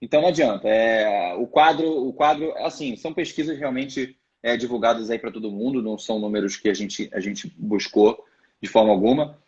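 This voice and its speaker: A man's voice: mid-range voice, fairly quick, darkish tone. A man speaking as a guest in a live online interview, explaining his views hesitantly with many restarts. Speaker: guest, financial education project member. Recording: muffled video call audio.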